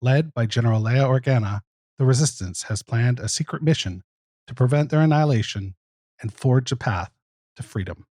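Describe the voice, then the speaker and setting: A man plays a portentous narrator of a space opera prologue, in a medium-pitched male voice. The scripted delivery is deliberate and dramatic, recorded very dry. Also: darkish tone, no echo, natural voice. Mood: grave.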